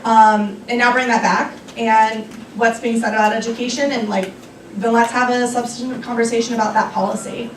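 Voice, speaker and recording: A young woman answers questions from a lunch crowd in a thoughtful way, filling her pauses with ums. She is picked up from far off in an echoey room. A faint hum of the room sits behind her. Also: on the high side, flat delivery. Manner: thoughtful, many ums and restarts. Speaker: guest speaker on a young activists' group. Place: restaurant meeting room. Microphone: room recording from a distance.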